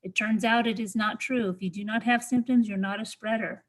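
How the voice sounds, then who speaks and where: A woman's voice: fairly high pitch, steady tone, brisk. A woman making an emphatic, argumentative public comment at a council meeting.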